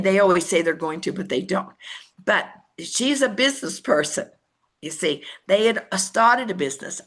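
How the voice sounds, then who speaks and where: An older woman giving a chatty motivational training talk on network marketing, acting out conversations in lively voices. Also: medium pitch, gravelly.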